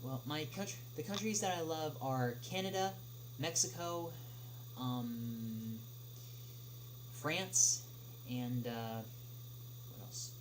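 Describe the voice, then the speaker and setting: A man hosts a casual livestream, answering questions in a mid-range voice. His delivery is hesitant and stop-start, with long pauses between phrases. A noticeable humming noise runs through the recording. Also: medium pitch. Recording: noisy livestream audio with clear hum.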